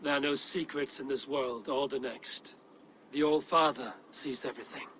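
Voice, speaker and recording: An actor playing a bold, resolute Viking raid leader in a video game, direct and commanding. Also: male voice, medium pitch, steady tone, moderate pace, fairly bright tone, a little hiss or hum, natural voice. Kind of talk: cinematic video game dialogue.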